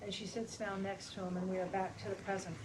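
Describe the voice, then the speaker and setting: A woman's voice: mid-range voice, little pitch movement, fast talker. A woman giving scripted narration, reading stage directions at a table read. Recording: noisy, distant mic, live room.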